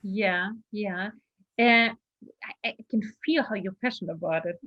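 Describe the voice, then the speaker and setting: A woman's voice: medium pitch, unhurried, no echo, highs cut off. A woman with a German accent, a non-native speaker interviewing casually and searching for her words.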